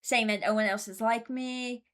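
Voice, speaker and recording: A woman's voice: fairly high pitch, steady tone, moderate pace, fairly bright tone. A woman giving casual commentary, thinking aloud in a hesitant, deliberating way and often correcting herself. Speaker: host ranking game voice lines.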